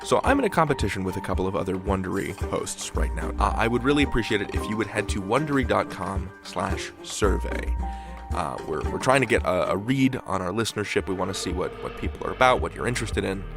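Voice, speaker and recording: A man's voice: low-pitched, expressive, quick pace, over music. A male podcast host asking earnest, detailed questions in an interview. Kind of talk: interview and promo read.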